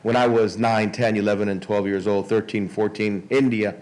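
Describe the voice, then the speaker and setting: Older man, lowish voice, halting delivery. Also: fairly even intonation, a little mellow, rough recording. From Miami, United States. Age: 60s. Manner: halting, repeats words and restarts often. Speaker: conference speaker. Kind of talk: informal public speech.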